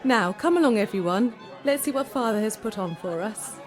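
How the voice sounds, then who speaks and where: An actress playing a confident, playful young Victorian gentlewoman with a gently commanding manner. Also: a little high, expressive, normal speed, over a noisy crowd, natural voice. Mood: playful, teasing. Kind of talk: theatrical period audio drama acting. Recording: loud music over the voice.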